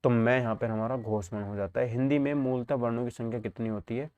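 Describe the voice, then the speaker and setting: A man's voice: medium pitch, fairly even intonation, fast, clear, resonant tone, no echo, muffled recording. Guy teaching exam prep, asks questions then answers them, really dry close sound. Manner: instructive, often asks himself questions then answers, checks understanding.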